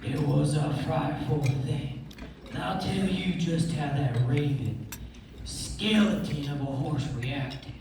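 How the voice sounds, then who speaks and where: Man playing a high-pitched, echoey Old West yarn-spinner. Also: gravelly. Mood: insistent. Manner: emphatic, folksy, dramatic storytelling. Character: boastful Old West tall-tale teller, excitable and insistent. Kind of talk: stage readers theatre performance. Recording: very echoey hall, far mic, noisy.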